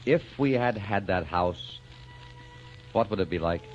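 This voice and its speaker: A man's voice: deep voice, expressive, measured pace. An actor playing a solemn, tender male lover resigned to his fate, grave and intimate, in 1940s radio drama style. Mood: tender, wistful. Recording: dull old radio recording, soft music behind.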